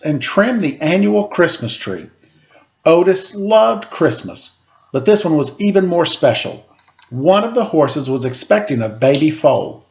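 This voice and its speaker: A man reading a children's story aloud with an expressive, playful delivery, as a storyteller at home. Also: a little high. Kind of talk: storybook read-aloud with casual asides. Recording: dull home recording with faint noise. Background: faint household background noise.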